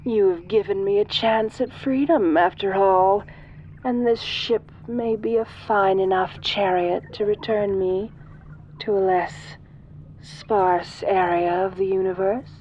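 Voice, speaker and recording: Woman playing a slow-talking, domineering ancient alien goddess. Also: medium pitch, steady tone, darkish tone, muffled recording, a little hiss or hum, close to an everyday voice. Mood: coaxing, haughty. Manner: slow, imperious, formal, silky. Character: ancient domineering female alien, godlike, sinister and seductive. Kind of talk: soft-voiced audio roleplay, addressing the listener.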